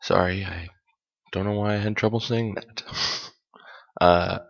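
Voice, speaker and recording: A very low, gravelly male voice, hoarse and a bit worn, talking loosely through a solo podcast. He rambles, stops to fix his own words and drops small jokes. The sound is dull and lo-fi, like a simple home setup. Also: steady tone, a little slow. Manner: rambling and self-correcting, with light jokes. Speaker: podcast host. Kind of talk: casual solo podcast talk.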